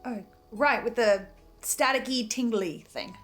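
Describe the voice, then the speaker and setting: A woman's voice: a little high, measured pace, soft background music, lightly acted. A woman playing a nervous, earnest, apologetic researcher in a naturalistic audio drama, stammering and repeating words. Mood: apologetic, concerned. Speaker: host of a listen-along show.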